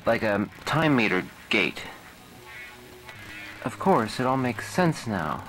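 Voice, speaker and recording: A man's voice: medium pitch, very animated, slow, fairly bright tone, soft background music. A man playing an earnest military hero in an amateur audio drama, declaiming in a stilted way. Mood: pondering, earnest. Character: earnest military hero puzzling over parallel worlds.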